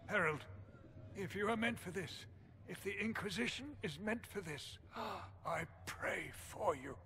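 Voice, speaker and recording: An actor playing a dying, devout chancellor in a video game, speaking in a weak, breathless, halting and reverent way. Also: male voice, high-pitched, expressive, sharp tone, slight background noise, exaggerated voice. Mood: solemn hope. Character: dying male chancellor cleric, frail, earnest, devout. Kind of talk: dramatic video game acting.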